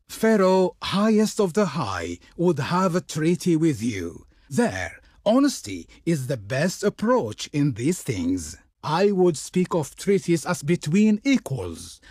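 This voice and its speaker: Pompous, swooping, high-voiced Egyptian envoy. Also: male voice, a little mellow, husky, no room sound, studio quality, full character voice. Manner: pompous, ceremonious, declamatory. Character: pompous, haughty, fawning male Egyptian envoy of Pharaoh. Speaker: diplomat character in strategy game. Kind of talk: theatrical game voice acting.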